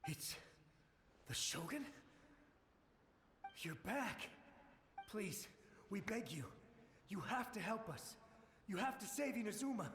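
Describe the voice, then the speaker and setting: Brief: haunted game soldier begging for help, raised voice, long reverb. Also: male voice, high-pitched, very animated, slow, long pauses, fairly bright tone, heavily acted. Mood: pleading. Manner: frantic, pleading, urgent. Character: panicked young male soldier, desperate and haunted. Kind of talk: video game voice acting.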